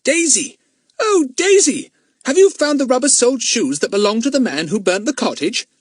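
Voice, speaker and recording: A man voicing a boastful, excitable schoolboy in a children's audiobook, pompous and emphatic. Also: high voice, a little fast, no echo, studio quality, pitched above the performer's own voice, exaggerated voice. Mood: excited. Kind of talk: acted children's audiobook dialogue.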